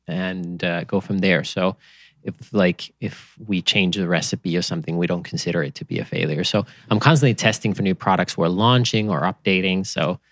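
Deep-voiced Finnish man, relaxed and rambling. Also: normal speed, no echo. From Finland. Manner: relaxed, rambling with fillers and restarts. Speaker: company founder, podcast guest. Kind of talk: casual interview chat.

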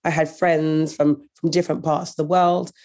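A woman from Birmingham with a croaky voice from a cold, telling her story earnestly as an interview guest. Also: deep voice, flat delivery, normal speed, mellow. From Birmingham, England. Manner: earnest, thoughtful, with some repeated words. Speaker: guest, anti-racism consultant.

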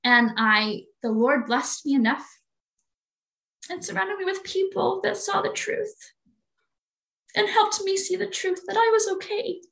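A woman's voice: very high-pitched, measured pace, creaky, long pauses, a bit bright, rough recording. A woman in her late forties talking earnestly and from the heart as a guest in a faith-based conversation, often repeating her phrases. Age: 40s.

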